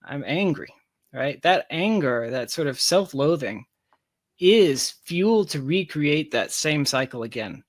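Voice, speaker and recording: A man's voice: a little high, expressive, unhurried, dry recording, clean studio sound. A man talking casually as an interview guest, explaining his ideas emphatically and repeating phrases for stress. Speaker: interview guest, tech company founder.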